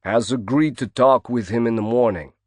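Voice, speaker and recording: A man's voice: fairly low pitch, moderate pace, a little mellow, dry recording, close to an everyday voice. A man voicing a burly, curt male bodyguard with a growling Brooklyn accent.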